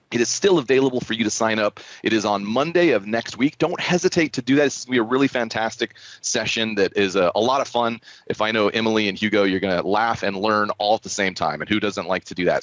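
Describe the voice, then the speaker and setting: A man's voice: on the low side, a bit bright. A man hosting an online developer community call, presenting in an upbeat, encouraging and enthusiastic way. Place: indoors, online video call. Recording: muffled, roomy online call audio.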